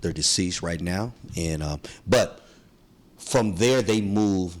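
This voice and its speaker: A very deep-voiced man from San Francisco talks through his family story in a loose, slangy way. He pauses often with ums and speaks from the heart. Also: darkish tone, slight background noise. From San Francisco, USA. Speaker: guest, community activist. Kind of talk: relaxed storytelling conversation.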